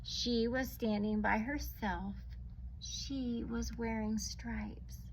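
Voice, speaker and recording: Teacher lady reading a kids book out loud, highish voice, stretches words, quiet little asides, bit of background noise. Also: takes it slowly, rough recording. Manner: expressive reading, often drawing words out, with hushed asides. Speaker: teacher reading a storybook to families. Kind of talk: children's read-aloud with chatty asides.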